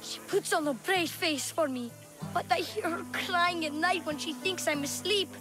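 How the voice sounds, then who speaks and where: High-voiced cheeky young boy hustler. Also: normal speed, music in the background. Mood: wheedling. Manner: glib salesman patter, feigned innocence. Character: cheeky young boy tour guide, sly con artist. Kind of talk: video game character acting.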